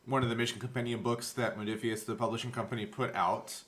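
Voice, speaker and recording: A man's voice: mid-range voice, level tone, quick pace, a bit bright. A man chatting casually as host and game master on a podcast. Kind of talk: casual chat about a recorded tabletop game show. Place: indoors, in one room with the co-hosts.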